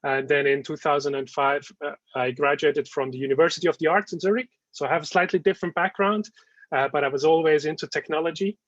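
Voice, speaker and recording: Man from Switzerland, somewhat high voice, halting with uh and um, dry muffled call audio. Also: steady tone, a bit bright, no room sound. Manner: hesitant, many "uh" and "um". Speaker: webinar speaker. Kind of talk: business presentation. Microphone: video call.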